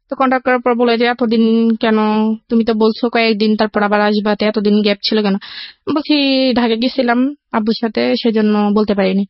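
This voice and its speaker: A woman's voice: a little high, no room sound. A young woman with a Bangladeshi accent telling their life story in casual, detailed conversation as a guest on a radio show. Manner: recounts in detail with reported dialogue. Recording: dull, lo-fi broadcast audio.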